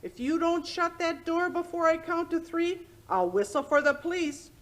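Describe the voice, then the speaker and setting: A woman playing an indignant, stubborn middle-aged matron who scolds in rough, ungrammatical speech in a radio drama. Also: very high voice, fairly even intonation, moderate pace, a bit bright. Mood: indignant, threatening. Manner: scolding, uneducated grammar. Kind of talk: old-time radio drama acting.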